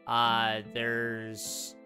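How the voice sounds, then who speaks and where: A man's voice: mid-range voice, completely flat delivery, extremely slow, sharp tone, faint music behind. A man hosting a casual gaming livestream, rambling and chatty with lots of fillers, his voice slightly strained as he starts to lose it. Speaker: livestream host.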